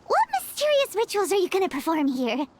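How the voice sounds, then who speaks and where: A woman voicing a small, cheeky fairy companion girl in a game, squeaky and blunt. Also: big pitch swings, brisk, background noise. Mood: curious. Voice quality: squeaky, bouncy, teasing. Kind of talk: game voice acting.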